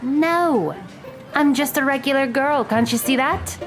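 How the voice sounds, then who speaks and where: A woman's voice: high voice, a little slow, over music. A woman playing a cold, sarcastic thirteen-year-old girl in an audio drama, with a dry, curt delivery. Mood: sarcastic, dismissive.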